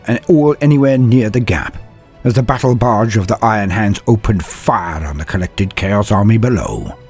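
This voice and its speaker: Low-voiced man narrating dramatic war tales. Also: darkish tone. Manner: solemn, theatrical delivery. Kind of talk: dramatic scripted storytelling. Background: music.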